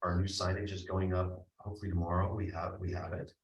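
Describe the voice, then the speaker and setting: A man's voice: deep voice, flat delivery, quick pace, highs cut off. A man speaking earnestly at a board meeting, reassuring the board with many restarts. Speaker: health care district administrator. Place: meeting room. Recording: echoey room from far off.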